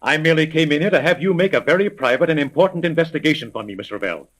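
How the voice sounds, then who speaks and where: A man's voice: fairly high pitch, expressive, rapid-fire, fairly bright tone. An actor playing an imperious, impatient rich businessman in a 1930s radio drama, speaking formally and emphatically. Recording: vintage 1930s radio recording.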